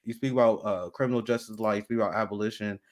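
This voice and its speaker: A man hosting a live talk show, speaking with many restarts and pauses. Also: on the low side, steady tone. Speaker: host.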